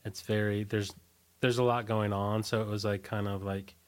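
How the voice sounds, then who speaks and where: A man with a fairly low voice talks as a guest in a relaxed interview. He recollects in a loose, rambling way, with halting phrasing and frequent false starts. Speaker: interview guest, punk band singer. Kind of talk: casual conversational interview, recollecting.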